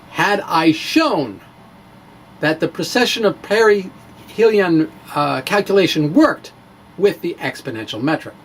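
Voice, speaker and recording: A man giving a theatrical, emphatic dramatic reading of a science blog, with deliberate pauses. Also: sits high, a little hiss or hum. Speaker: science blogger and presenter.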